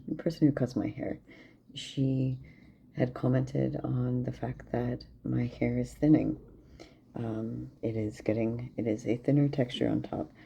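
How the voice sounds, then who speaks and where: A man's voice: medium pitch, vocal fry, mellow, a little hiss or hum. A tired young man talking candidly in a casual video diary, rambling with lots of fillers. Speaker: vlogger. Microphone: camera propped near a plant. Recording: dull lo-fi camera audio.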